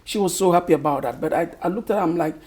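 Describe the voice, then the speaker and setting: Husky Cameroonian man, slow and thoughtful. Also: on the high side, a little mellow. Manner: slow, thoughtful, searching for words. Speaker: author guest. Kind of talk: interview answers.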